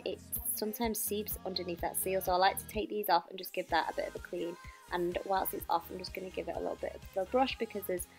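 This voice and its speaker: A young British woman narrates her housework in an informal, chatty way. Her voice sits at a middling pitch and has noticeable fry in it, with music playing behind her. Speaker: home cleaning vlogger. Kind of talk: casual voice-over narration of household chores. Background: background music.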